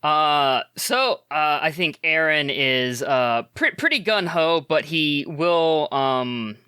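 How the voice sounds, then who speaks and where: A man's voice: a little high, slow pace, sharp tone, smooth, no room sound, clean studio sound. A man playing a tabletop game as a guest, thinking aloud hesitantly. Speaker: player guest.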